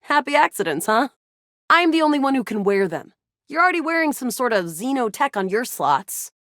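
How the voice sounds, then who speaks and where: A woman voicing a feisty, excitable young resistance fighter in a sci-fi video game, with a dramatic, playful delivery. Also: high voice, fairly quick, no room sound, clean studio sound. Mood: brisk, playful. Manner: animated, dramatic, playful banter. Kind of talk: video game character voice acting.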